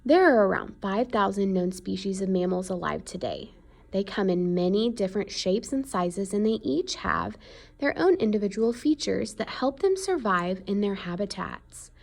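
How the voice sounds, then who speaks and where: A woman's voice: mid-range voice, lively intonation, moderate pace, gravelly, darkish tone. A woman reading an educational children's book aloud in an explanatory way, stressing key words. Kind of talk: read-aloud educational narration.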